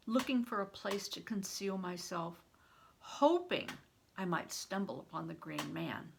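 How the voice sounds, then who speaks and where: An older woman reads a storybook aloud at an unhurried pace. Her voice is bright and gravelly, and she leans into the drama with emphatic delivery. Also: fairly low pitch, a little hiss or hum. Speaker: retired reading teacher reading aloud. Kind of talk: expressive storybook read-aloud.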